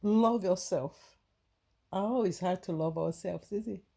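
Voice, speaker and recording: A woman from Brazil with a Brazilian Portuguese accent, giving a gentle, halting inspirational talk to her viewers with many restarts. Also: on the low side, creaky. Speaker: spiritual vlogger.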